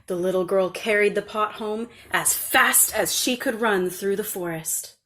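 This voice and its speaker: A woman storyteller reading a scripted children's tale in a measured, expressive way. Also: medium pitch.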